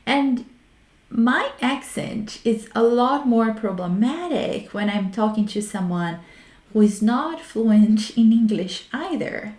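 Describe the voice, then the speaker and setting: A woman's voice: fairly high pitch, lively intonation, slow pace, darkish tone. A woman from Brazil with a Brazilian Portuguese accent hosting a solo talk on research methods, frank and reflective, often stopping to restart her words. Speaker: host. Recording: roomy echo.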